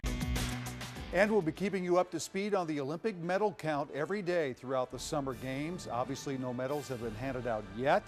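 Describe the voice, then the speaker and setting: A man's voice: fairly high pitch, brisk, soft background music. A middle-aged American man presenting the news as a TV anchor in a studio.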